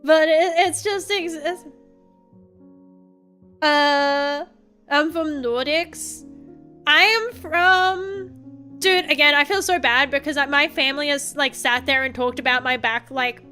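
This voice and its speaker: A woman's voice: high-pitched, fairly even intonation, slow, bright, forceful tone. A young Australian woman streaming, chatting casually to her viewers in a rambling way, swearing often and laughing easily. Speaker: vtuber streamer. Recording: faint music behind.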